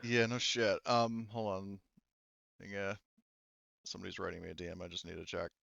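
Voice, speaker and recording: A man speaking casually as a game master, narrating in a halting, hedging way with the odd swear word, on slightly lo-fi audio. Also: low-pitched, level tone, gravelly, fairly bright tone, no echo.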